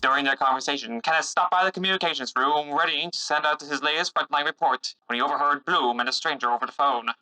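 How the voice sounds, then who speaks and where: A man's voice: a little high, fast talker, hardly any pauses, bright, forceful tone, soft background music, poor audio. A man narrating a scripted audio drama.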